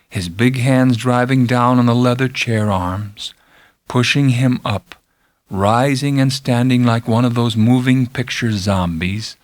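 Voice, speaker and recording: A man reading a literary first-person story as an audiobook narrator, dramatic, intense and deliberate, with hushed drops and swells. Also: mid-range voice, no room sound.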